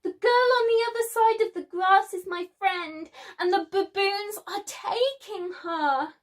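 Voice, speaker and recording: A woman's voice: extremely high pitch, a little slow, pitched above the performer's own voice. A woman voicing an earnest, childlike little lioness cub in a children's storybook. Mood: urgent pleading. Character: little young female lion cub, earnest, eager.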